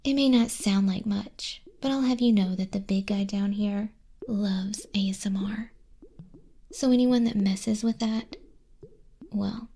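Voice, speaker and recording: Woman as a soft husky whispering demon clerk. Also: medium pitch, measured pace. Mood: dryly stern. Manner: condescending, teasing, dryly bureaucratic. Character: smug female demon clerk registering sinners in hell. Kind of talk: ASMR roleplay, soft-spoken and whispered.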